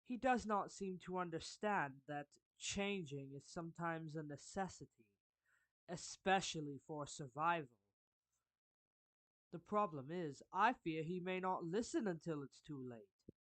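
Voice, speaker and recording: A performer voicing a suave, courtly villain turned gently protective friend in an anime fan roleplay, speaking formally and deliberately with pauses mid-phrase. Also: medium pitch, no room sound, lightly acted. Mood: explanatory, grave. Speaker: character voice actor. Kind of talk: anime fan roleplay monologue addressed to the listener.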